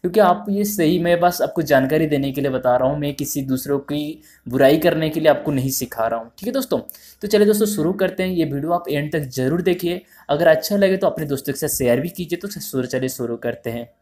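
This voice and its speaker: Guy doing a casual phone how-to, voice a bit high, repeats steps, talks to viewers like friends. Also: fast talker, a little mellow. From India. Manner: repetitive, step-by-step explaining, addressing viewers as friends. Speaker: tutorial host. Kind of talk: informal phone app tutorial narration.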